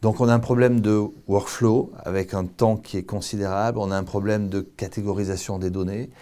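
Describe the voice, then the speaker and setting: A man's voice: lowish voice, fairly even intonation, a little fast, dark tone. A man speaking as an anaesthetist guest, explaining professional matters methodically, point by point.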